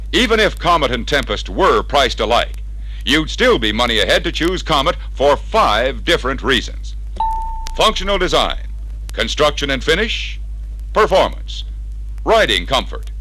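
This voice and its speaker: American guy doing old 60s sales film narration off a record, punchy and bright, really lively pitch. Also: a little hiss or hum, rough recording. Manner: persuasive, emphatic announcer delivery. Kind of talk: scripted 1960s sales narration. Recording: vintage 1961 vinyl record sound.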